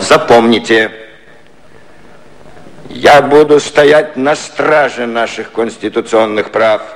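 An actor playing a wily elderly British prime minister in a 1950s satirical radio play, ironic, declamatory and coaxing. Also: male voice, medium pitch, fairly bright tone.

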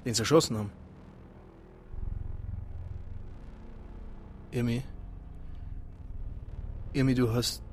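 An actor with a Bavarian accent playing an agitated husband in a radio drama, insistently demanding answers. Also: male voice, medium pitch, steady tone, moderate pace, slight background noise, natural voice. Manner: insistent, questioning. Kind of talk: naturalistic radio drama acting.

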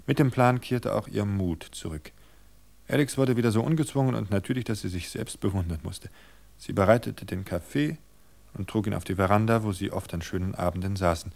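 A man reading a suspense story aloud in a measured, tense narration. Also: fairly low pitch, gravelly, darkish tone.

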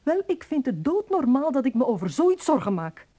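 A worried young Flemish bride in a radio play. Her voice is on the high side and soft-edged, with lively intonation, as she presses her point insistently and pleadingly. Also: moderate pace. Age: young adult. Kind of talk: radio drama acting.

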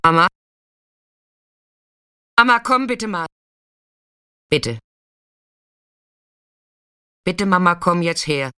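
A woman's voice: lively intonation, extremely slow, long pauses, sharp tone, clean tone, dry recording, studio quality, full character voice. An actress playing a frightened, sick young girl pleading desperately for her mother in a radio play. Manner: pleading, high, strained cries.

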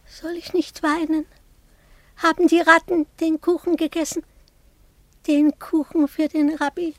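A plaintive old peasant mother speaks in a high voice with sing-song, lamenting phrases. She sounds devout and simple, in a closely recorded, dry radio drama. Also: little pitch movement, measured pace, no echo. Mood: tearful, lamenting.

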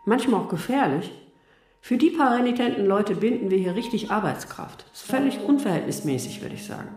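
A woman playing a brisk, bossy and chatty middle-aged tax office clerk. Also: mid-range voice, big pitch swings, close to an everyday voice.

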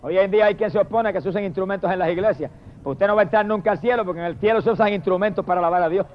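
A man's voice: high voice, rapid-fire, muffled recording. A man preaching an impassioned sermon, breaking often into cries of praise. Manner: impassioned, repetitive exclamations of praise. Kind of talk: fervent sermon.

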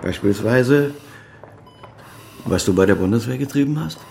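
A man's voice: medium pitch, animated, normal speed, creaky, a little mellow, slight background noise. An actor playing a stern, moralizing older father, reproachful and probing, in a naturalistic radio drama. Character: stern, moralizing elderly father, former chief physician.

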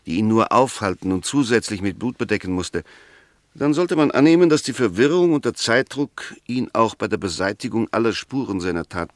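A man acting as a defence lawyer in a sixties radio drama, with a fairly low voice in a dry studio sound. He is articulate and formal, building each point methodically with rhetorical emphasis. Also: dry recording, lightly acted. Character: measured, incisive male defence lawyer arguing a murder case. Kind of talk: 1960s German radio play acting.

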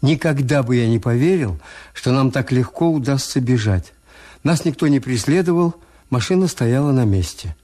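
An actor playing a wry, cautious hotel owner who narrates a Soviet radio drama in a measured literary way. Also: male voice, mid-range voice, lively intonation, warm tone, close to an everyday voice. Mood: wry narration. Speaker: narrator and lead character.

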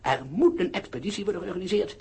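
An actor playing a vain, irascible older observatory director in a Dutch radio play, grandiose and declamatory, with a husky voice. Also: male voice, sits high, fast, a little mellow, exaggerated voice. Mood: self-important. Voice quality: husky, breathy. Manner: grandiose, declamatory, abrupt outbursts.

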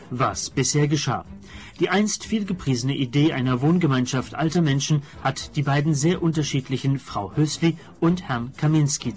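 A man's voice: mid-range voice, a little mellow. A man announcing a radio play episode in a scripted recap narration.

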